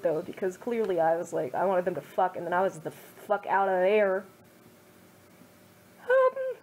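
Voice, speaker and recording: A woman's voice: medium pitch, rough recording. A young woman reading her own fan fiction aloud, then adding wry, self-mocking commentary.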